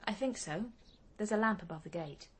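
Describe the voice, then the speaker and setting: A woman's voice: medium pitch, fairly quick, fairly bright tone, husky. An actress playing a careful, tentative woman eyewitness in a radio crime drama.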